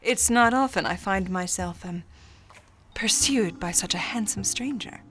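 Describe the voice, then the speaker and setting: A woman plays a mysterious screen siren of classic film noir radio. She is seductive and self-possessed, speaking in a medium-pitched voice with coy, formal poise and a teasing edge. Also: a little slow, fairly bright tone, a little hiss or hum, natural voice. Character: seductive, mysterious, self-possessed Hollywood starlet femme fatale.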